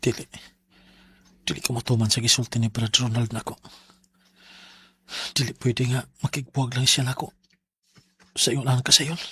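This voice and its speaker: A man's voice: medium pitch, fairly even intonation, moderate pace, long pauses, sharp tone, breathy edge. An actor with a Cebuano Filipino accent playing a heartbroken young man betrayed by his friend in a radio drama. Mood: troubled, wounded.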